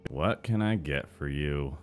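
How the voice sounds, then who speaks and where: A man's voice: very deep voice, expressive, a little slow, faint music behind. A man voicing a cartoon food-counter server, speaking in a service-like manner. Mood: flat service. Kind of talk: animated cartoon character lines.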